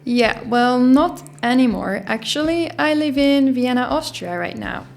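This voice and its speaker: A young woman speaks in a fairly high voice, reflective and easygoing, with soft "um"s as she narrates her vlog. Faint music plays underneath. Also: lively intonation, a little slow, creaky. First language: Dutch. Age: young adult. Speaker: YouTuber.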